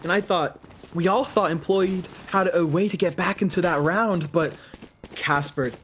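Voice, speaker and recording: Higher-voiced man commentating esports. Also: moderate pace, a bit bright, slight background noise. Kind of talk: live esports play-by-play and analysis commentary.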